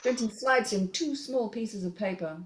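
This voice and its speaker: A woman's voice: a little high, moderate pace, background noise. A woman narrating a screenplay, reading out scene headings and stage directions as scripted narration.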